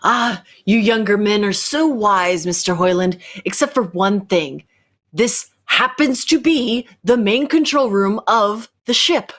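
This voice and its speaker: A woman playing a knowing, imperious rebel captor who speaks deliberately and probingly in a radio sci-fi drama. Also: mid-range voice, animated, fairly bright tone. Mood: knowing, superior. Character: commanding, knowing rebel captor challenging orthodox belief. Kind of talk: cold-read old-time radio sci-fi drama.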